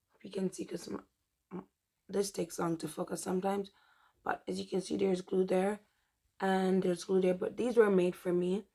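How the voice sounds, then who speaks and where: A young woman hosting a chatty crochet tutorial, her voice mostly gone and rough from a dry throat, rambling and correcting herself as she goes. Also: on the low side, darkish tone. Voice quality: strained, rough. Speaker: crochet tutorial host and shop owner.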